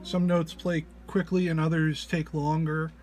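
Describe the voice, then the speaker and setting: A man giving an informal technical walk-through as a presenter, sounding low-energy and under the weather, with a hesitant, halting delivery. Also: flat delivery, over loud music. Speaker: presenter demonstrating an audio effects patch. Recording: clear background noise, single mono microphone.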